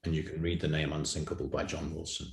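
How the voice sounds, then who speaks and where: Male writer as interview guest, very deep register, emphatic anecdotal chat with repeated phrases, recorded echoey over a call. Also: monotone, quick pace, gravelly. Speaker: audio drama writer and guest. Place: room on a video call. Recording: roomy, echoing video-call sound.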